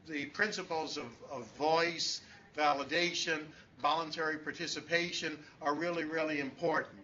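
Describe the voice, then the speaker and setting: A man speaks over a muffled remote connection. His voice is bright and slightly high, and he talks with frequent fillers and restarts. A faint hum of ambience sits behind him. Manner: hesitant, many restarts and fillers. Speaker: conference speaker, law professor. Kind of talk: conference talk over remote link. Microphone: distant room microphone on a call.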